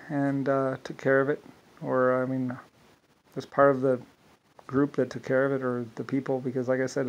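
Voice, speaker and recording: A man's voice: mid-range voice, fairly bright tone, poor audio. A man telling a long, meandering solo monologue, restarting often and circling back with repeated asides.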